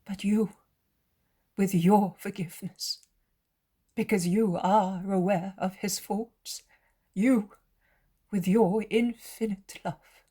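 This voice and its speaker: A woman's voice: medium pitch, mellow, breathy edge, voice pushed up. A woman voicing a devout, anxious mother in a storybook, speaking in an imploring and effusive way. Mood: pleading hope.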